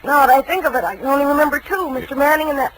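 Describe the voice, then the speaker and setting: An actress in a 1940s radio drama playing a flighty, affectionate young secretary who is easily flustered. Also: female voice, sits high, rapid-fire, natural voice, poor audio. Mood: puzzled recalling. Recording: hissy old 1940s radio recording.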